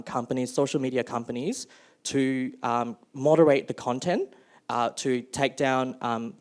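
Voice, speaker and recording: A man's voice: medium pitch, darkish tone. A young man from Malaysia speaking as a youth delegate on a panel, hesitant, with many ums and restarts. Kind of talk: panel talk.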